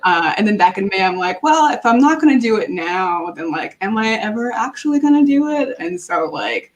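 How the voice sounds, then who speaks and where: Woman from New Jersey as panel moderator, relaxed and filler-heavy, on a video call. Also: mid-range voice, moderate pace, dull audio. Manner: loose, filler-heavy, frequent ums. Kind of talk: casual panel chat.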